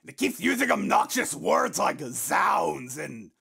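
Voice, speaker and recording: A man playing a grumpy, blustering old con man great-uncle in a comic dub, with a gravelly voice and loud, indignant ranting. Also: a little high, sing-song, slow pace, exaggerated voice. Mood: exasperated. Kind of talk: comic dub character acting.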